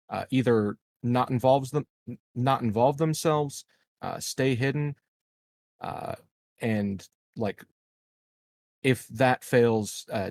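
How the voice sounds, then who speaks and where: A man playing a tabletop game, talking casually and planning aloud in a halting, deliberate way. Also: mid-range voice, darkish tone, no room sound.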